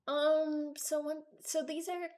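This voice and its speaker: A woman's voice: high-pitched, little pitch movement, slow pace, a little mellow. A woman in her early twenties giving casual, unscripted commentary as a gaming video creator, rambling and thinking aloud.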